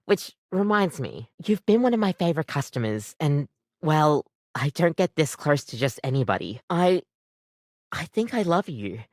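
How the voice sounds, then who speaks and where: A woman voicing a living coffee maker in a video game, an earnest, bubbly, chatty barista with an expressive, rambling way of talking. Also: low-pitched, normal speed, dry recording, clean studio sound. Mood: tender, nervous. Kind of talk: scripted video game voice acting.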